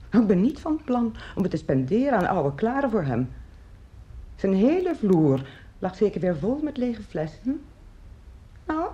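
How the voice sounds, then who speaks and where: Chatty, grumbling housekeeper, lively swooping pitch. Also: female voice, dull audio. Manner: chatty, complaining, matter-of-fact. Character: grumbling, practical sister-in-law of a drunkard. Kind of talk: radio play acting.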